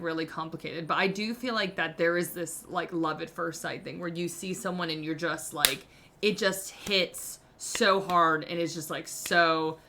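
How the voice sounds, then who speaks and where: A woman's voice: lowish voice, sharp tone, a little hiss or hum. A woman in her thirties hosting a podcast, giving candid relationship advice in a casual, self-mocking way, full of "like". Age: 30s. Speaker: podcast host.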